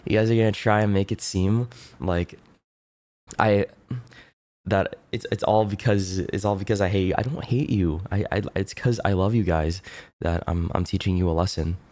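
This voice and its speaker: Low-voiced, stuffy-nosed man chatting. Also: steady tone, moderate pace, darkish tone, no echo. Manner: laid-back, rambling, swears casually, keeps clearing throat. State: congested, stuffy nose. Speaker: streamer. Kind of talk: casual chat with viewers.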